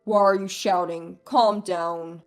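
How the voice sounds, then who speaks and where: Woman doing a curt, domineering husband, pitched down. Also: fairly even intonation, slow pace, soft background music. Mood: dismissive. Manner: curt, scolding, condescending. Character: domineering, dismissive, selfish husband, adult man. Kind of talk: acted dialogue in a narrated family drama story.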